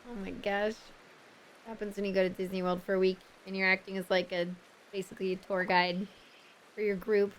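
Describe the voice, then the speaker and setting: A woman streaming a game live, chatting with viewers and reacting excitedly, her voice worn out and tired. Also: mid-range voice, a little fast, a little hiss or hum, poor audio. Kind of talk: casual live-stream commentary.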